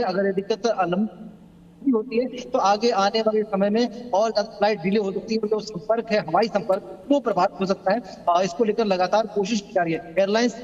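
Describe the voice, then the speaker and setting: A man giving a live news report as a field reporter, in a halting, hesitant delivery. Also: sits high, darkish tone. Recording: muffled, long hall-like reverb.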